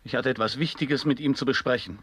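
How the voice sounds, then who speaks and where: A man's voice: mid-range voice, quick pace, a bit bright, breathy edge. An actor playing an uneasy, evasive, grumbling man in a radio play, with dry, terse, irritable delivery. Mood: indignant, nervous. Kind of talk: absurdist German radio play acting.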